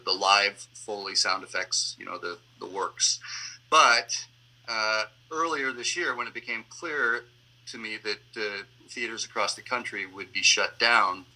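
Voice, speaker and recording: Male theater director as interview guest, fairly low voice with a sharp bright edge, thinking aloud haltingly. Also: low-quality recording. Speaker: theater artistic director and actor, panel guest. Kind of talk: answering interview questions conversationally. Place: online video call. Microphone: video call microphone.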